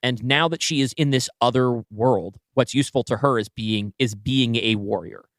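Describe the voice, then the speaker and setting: A man with a medium-low voice talks casually as a podcast co-host. He reasons aloud in an analytical, conversational way, and the sound is dry, with very little room in it. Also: moderate pace, a bit bright, no room sound. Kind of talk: casual story brainstorming.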